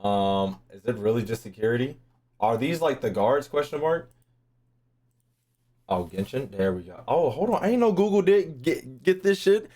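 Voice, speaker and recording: A man's voice: medium pitch, animated, moderate pace, warm tone. Slangy, sweary male streamer. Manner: slangy, sweary, repeats phrases. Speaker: streamer reacting to game lore. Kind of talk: casual live reaction chat.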